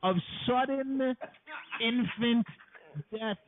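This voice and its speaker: A man's voice: very high voice, very slow, background noise. A male host doing crude comedy chat-show banter, brash and mocking, sometimes slipping into put-on voices. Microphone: call-in phone line. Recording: phone-line call-in audio.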